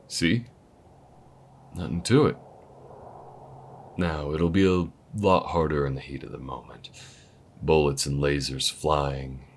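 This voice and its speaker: A calm, weathered alien gunslinger, voiced by a man with an extremely deep, rumbling voice that often drops to a soft near-whisper. He talks in an easygoing, laid-back drawl. A faint low rumble sits under the recording. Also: full character voice. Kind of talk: audio roleplay acting.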